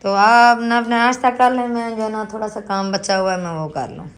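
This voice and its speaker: A woman from Pakistan, chatting on a family village vlog. She has a sore throat and talks warmly, calling her husband the viewers' brother. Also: on the high side, fairly even intonation, a little fast. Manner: warm, chatty, addresses viewers as "your brother". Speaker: family vlogger. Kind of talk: casual family vlog chat. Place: rural village home.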